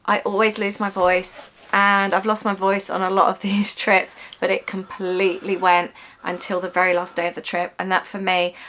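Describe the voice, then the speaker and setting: A woman, chatting casually as a travel vlogger and telling stories in an animated way. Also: mid-range voice, normal speed. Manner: animated storytelling, finishes her partner's sentences. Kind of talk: casual chat.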